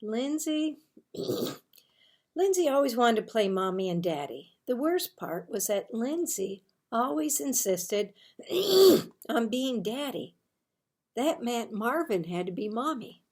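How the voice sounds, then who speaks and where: A woman reading a children's storybook aloud in a deliberate, expressive storytelling way. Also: fairly high pitch.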